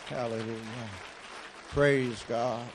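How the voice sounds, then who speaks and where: A man preaching a sermon in a church. He tells stories quietly, then leads loud, fervent prayer. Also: mid-range voice, fairly even intonation, takes it slowly, a crowd in the background, noisy background. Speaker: guest preacher.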